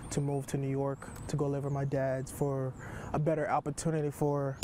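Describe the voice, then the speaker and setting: A man's voice: mid-range voice, monotone, normal speed, dark tone, a little hiss or hum. A young man from Haiti speaking earnestly and reflectively in an interview. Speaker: interviewee, athlete.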